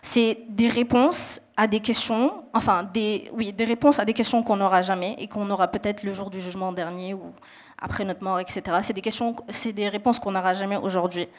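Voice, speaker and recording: A woman's voice: mid-range voice, steady tone, fairly quick. A young woman from Mali and Niger, raised in Saudi Arabia, answering a panel question in an earnest, explanatory way with frequent hesitations and restarts.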